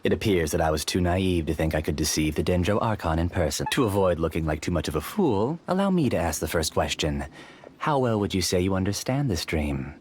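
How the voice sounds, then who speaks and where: An actor playing a polite, calculating, obsessed scholar in a video game, with a courteous, theatrical delivery. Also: male voice, deep voice, lively intonation, a little fast, darkish tone, slight background noise. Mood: smug. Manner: courteous, theatrical, smooth-talking.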